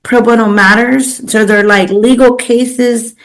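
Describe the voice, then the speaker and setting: A woman's voice: on the high side, steady tone, measured pace, poor audio. A woman who is a native Spanish speaker, talking earnestly and at length about her work as an interview guest. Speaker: podcast guest, pro bono legal coordinator. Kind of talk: conversational interview, personal career storytelling.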